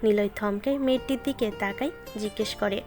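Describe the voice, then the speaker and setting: A woman's voice: mid-range voice, brisk. A woman reading scripted narration of a romantic story, with music behind her voice.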